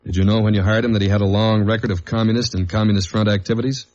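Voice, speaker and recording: A man acting as a steady, dogged government investigator and narrator in a mid-century radio detective drama. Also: on the low side, racing, natural voice. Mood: courteous, probing. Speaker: lead actor and narrator of a radio detective serial. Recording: dull old radio recording with faint music.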